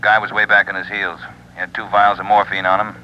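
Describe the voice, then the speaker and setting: An actor playing a brisk, businesslike police officer on the phone in a 1940s radio drama. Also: male voice, sits low, flat delivery, natural voice. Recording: dull old radio broadcast with hiss.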